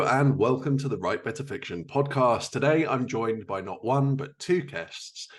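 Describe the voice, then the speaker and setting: A male podcast host in an upbeat, playful manner. His voice sits a little low, and he moves between scripted announcements and light teasing of his guests. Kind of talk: scripted intro then interview chat.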